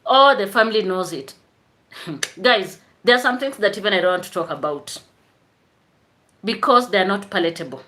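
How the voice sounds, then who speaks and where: A woman's voice: medium pitch, animated, normal speed, long pauses, bright, forceful tone. A woman chatting casually on a live stream, greeting viewers by name and laughing often. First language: Swahili.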